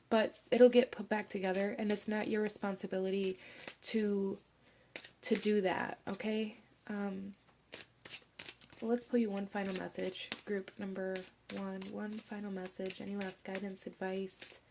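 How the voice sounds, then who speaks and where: A young woman gives a tarot reading in a soft, gentle voice, hoarse from a recent cold. Her pitch stays level and even, and she often drops into a near-whisper. Faint noise hisses behind her. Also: a little slow. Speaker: tarot reader. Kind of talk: pick-a-card tarot reading.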